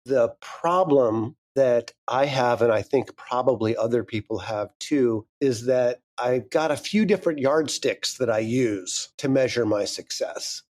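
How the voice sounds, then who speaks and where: A man's voice: medium pitch, unhurried, hardly any pauses, darkish tone. A man in his fifties, a guest speaking frankly and candidly in a casual interview, swearing now and then. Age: 50s. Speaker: guest, audio drama creator.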